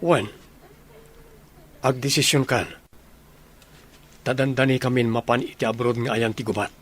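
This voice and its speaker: Man acting a confident, mid-pitched young soldier. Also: steady tone, measured pace, natural voice. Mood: businesslike. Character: confident young soldier, teasing son and suitor. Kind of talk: Ilocano comedy radio drama acting.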